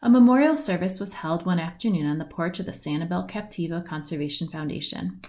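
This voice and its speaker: A woman reading a children's picture book aloud, with deliberate phrasing, stretched words and lilting lists. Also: lowish voice, warm tone. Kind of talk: read-aloud storybook narration. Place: indoors at home, at a wooden table by a window.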